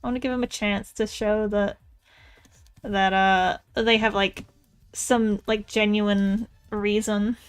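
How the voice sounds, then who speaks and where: A woman artist chatting casually while she draws, thinking out loud in a rambling, tentative way. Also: medium pitch, level tone, takes it slowly, clear, resonant tone. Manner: rambling, musing aloud, tentative. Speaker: artist streamer. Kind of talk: casual chat while drawing. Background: music.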